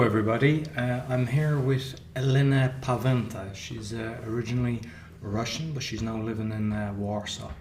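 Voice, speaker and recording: A man's voice: medium pitch, darkish tone. A man hosting a podcast interview, talking casually and conversationally as he asks his guest questions. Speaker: podcast host. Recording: echoey room with faint noise.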